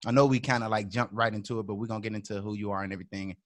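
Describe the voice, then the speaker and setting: A man's voice: on the low side, steady tone, rapid-fire. Man co-hosting, loose and slangy. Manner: loose, stammering restarts, slangy. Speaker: podcast co-host. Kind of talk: casual chat.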